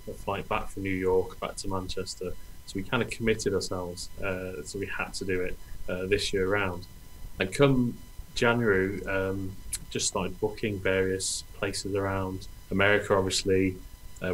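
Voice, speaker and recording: A man's voice: deep voice, a little hiss or hum, rough recording. A middle-aged man, answering interview questions over a video call in a friendly, chatty way. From Wales. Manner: friendly, chatty, uses "um" often. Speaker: guest, treasure searcher.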